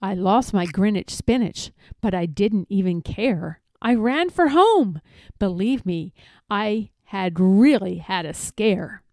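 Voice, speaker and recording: A woman reading rhyming children's stories aloud in an expressive, theatrical storytelling manner. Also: mid-range voice, slow, no room sound. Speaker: storybook reader.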